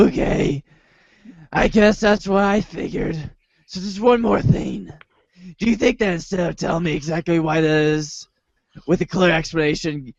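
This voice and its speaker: A woman playing a naive, casual teenage boy hero in amateur reader's theater, hesitant and deadpan. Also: fairly low pitch, dry recording. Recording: dry, dull lo-fi recording.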